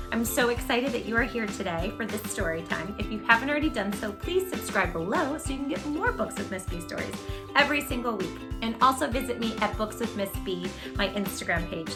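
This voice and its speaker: A woman hosting a children's storytime, speaking in an animated, gushing way straight to young listeners as she chats and reads aloud. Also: medium pitch. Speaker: children's storytime host and creator of the channel. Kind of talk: children's read-aloud and direct-to-viewer chat.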